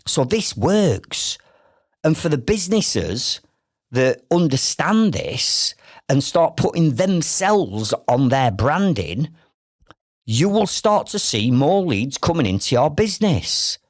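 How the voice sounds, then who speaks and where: Lively Yorkshire man hosting a podcast. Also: on the high side, takes it slowly, no room sound, clean studio sound. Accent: Northern English, Yorkshire. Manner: direct, persuasive, full of rhetorical questions. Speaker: podcast host. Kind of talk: solo business podcast monologue.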